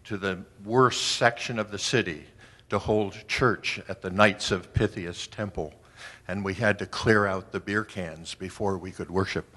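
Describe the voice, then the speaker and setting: Low-voiced American man telling his story. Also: unhurried, fairly bright tone. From United States, Washington DC area. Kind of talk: first-person storytelling to an audience.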